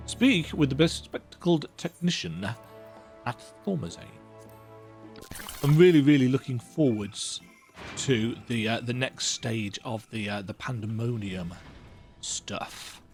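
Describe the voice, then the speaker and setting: A man's voice: mid-range voice, slow pace, a little mellow, soft background music. A man giving rambling, chatty commentary as he plays through a video game. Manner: rambling, self-interrupting, chatty. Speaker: gaming YouTuber. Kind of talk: casual game playthrough commentary.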